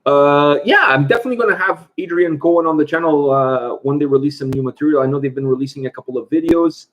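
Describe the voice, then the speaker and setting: A man's voice: fairly high pitch, fast talker, fairly bright tone. Male host, Portuguese-born, casual and talkative, answers viewer questions, muffled stream audio. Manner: chatty, reads viewer questions aloud. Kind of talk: casual livestream chat.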